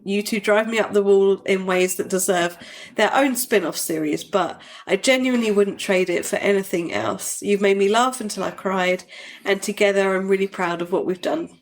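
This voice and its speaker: A woman co-hosting a podcast, sounding bunged up from a cold and joking in a dry, self-mocking way. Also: mid-range voice. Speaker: podcast co-host. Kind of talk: casual podcast chat.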